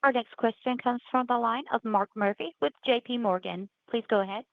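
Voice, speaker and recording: A woman's voice: on the high side, brisk, gravelly, dry recording, phone-quality sound. A woman working as a conference call operator, giving scripted announcements.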